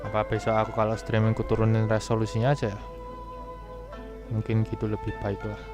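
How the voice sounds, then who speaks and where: A man's voice: lowish voice, monotone, fast. A man with an Indonesian accent giving casual, crude and joking live reactions as a game streamer. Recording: game music behind the voice.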